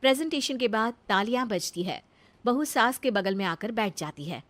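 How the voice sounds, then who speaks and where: Woman narrator for a cartoon story, kinda high voice, scripted storytelling. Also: expressive, moderate pace, fairly bright tone.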